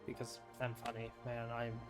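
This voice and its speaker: A game streamer talks casually over soft music. The delivery is informal and offhand, slipping between wry remarks and reading dialogue aloud. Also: mid-range voice, monotone, moderate pace. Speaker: game streamer reacting to a story quest.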